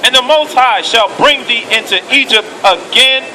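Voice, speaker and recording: A man with a very high voice reads scripture aloud on a noisy street. His reading is slow and measured, and he sounds a little distant from the mic. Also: sharp tone. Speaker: scripture reader. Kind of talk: reading Bible verses aloud. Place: outdoors on a city street.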